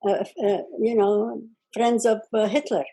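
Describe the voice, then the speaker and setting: A woman's voice: a little high, flat delivery, unhurried, dark tone. An elderly woman from Czechoslovakia, speaking plainly as an interview guest and often ending sentences with "okay?". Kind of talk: conversational testimony.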